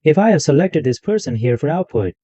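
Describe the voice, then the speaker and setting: A man hosting a screen-recorded software tutorial, narrating every click step by step in loose, repetitive wording. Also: fairly high pitch, big pitch swings, a little fast, no echo. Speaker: tutorial host. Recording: dull lo-fi dry mic sound.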